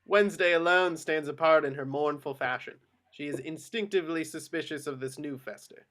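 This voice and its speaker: Fairly high-pitched man reading stage directions. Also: a little fast, a bit bright. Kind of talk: scripted narration at a table read.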